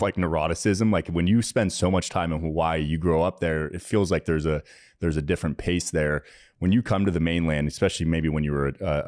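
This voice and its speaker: Very deep-voiced podcast co-host. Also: male voice, darkish tone, no echo, studio quality. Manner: long, winding, self-correcting questions. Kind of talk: casual podcast interviewing. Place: podcast studio.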